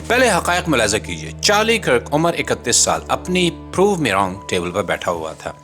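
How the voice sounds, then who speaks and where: A man hosts a scripted political commentary in an animated voice that moves widely in pitch. His delivery is rhetorical and declamatory, with a sardonic edge. Faint music plays behind him. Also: normal speed. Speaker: host and narrator of a commentary channel.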